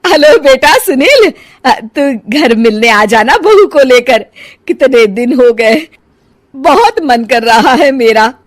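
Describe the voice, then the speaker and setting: A woman's voice: high voice, expressive, takes it slowly, no room sound, clean studio sound, voice pushed up. A woman voicing an elderly, emotional and sulky mother-in-law in a cartoon moral story. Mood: eager, longing.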